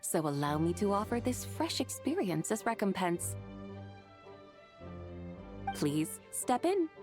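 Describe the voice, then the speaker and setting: Casting: serene mystical game sage, mid-pitched but richly varied intonation, formal courtly diction, music behind. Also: female voice, very animated. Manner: formal, archaic, measured phrasing. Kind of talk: video game character acting.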